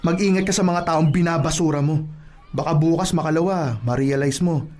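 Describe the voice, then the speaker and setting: Actor as an earnest plumber, higher-pitched man. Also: darkish tone, lightly acted. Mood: earnest admonishing. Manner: admonishing, deliberate advice-giving. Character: earnest, caring plumber, a man scolding a friend. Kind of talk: radio drama acting. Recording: music behind the voice.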